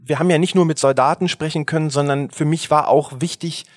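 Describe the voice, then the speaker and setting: Middle-aged male journalist, animated storyteller, tenor-ish voice, dead-dry studio sound. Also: on the high side, fast, no room sound. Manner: vivid, animated retelling with many restarts. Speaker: journalist and podcast guest. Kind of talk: conversational storytelling.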